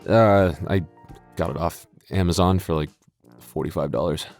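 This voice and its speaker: Man, low voice, casual creator chat, hesitant delivery, self-deprecating humour, music behind. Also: moderate pace, a little mellow. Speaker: audio roleplay creator and voice actor. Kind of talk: casual, joking chat to listeners.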